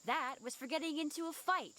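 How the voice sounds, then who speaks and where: A woman's voice: high-pitched, brisk, sharp tone, dry recording. A woman voicing a cheeky, teasing teenage schoolgirl in an amateur game fandub. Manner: playful, teasing.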